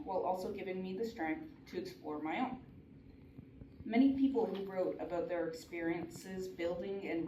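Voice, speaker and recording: A woman giving a prepared, earnest talk at a conference, with small restarts. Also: lowish voice. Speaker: conference speaker. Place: conference hall. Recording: echoey hall from far off, noisy.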